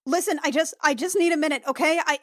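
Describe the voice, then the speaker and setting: A woman's voice: high voice, voice pushed up, lightly acted. A woman voicing a frightened young princess who stammers and stumbles over her words. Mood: pleading. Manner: stammering, halting. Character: panicked young elf princess healer, stammering.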